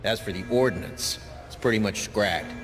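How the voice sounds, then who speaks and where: An actor playing a gruff, grudging military quartermaster in video game dialogue, with a folksy manner. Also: male voice, lowish voice, normal speed, natural voice. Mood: wry. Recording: long hall reverb, faint music behind.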